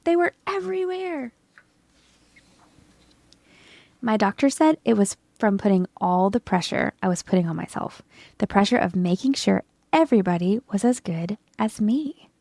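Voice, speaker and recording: A woman voicing an earnest, dutiful male egg telling his own story in a children's storybook, often breathy. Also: a little high, darkish tone, no echo. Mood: weary, strained. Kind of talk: children's storybook first-person narration.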